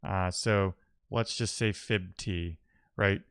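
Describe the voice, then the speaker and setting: A man's voice: deep voice, fairly even intonation, takes it slowly, smooth, no room sound. Man, clean resonant tone, dry close sound, casual technical talk, musing, self-questioning. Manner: musing, explanatory, poses questions to himself. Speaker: live-coding streamer.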